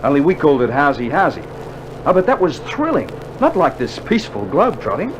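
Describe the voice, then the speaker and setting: A man's voice: a little high, very animated, fairly quick. An actor playing a cheeky, skeptical young Australian infantryman with dry sarcastic banter, in a scripted mid-century radio drama. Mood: mock innocent, sardonic. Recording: noisy old vinyl radio drama recording.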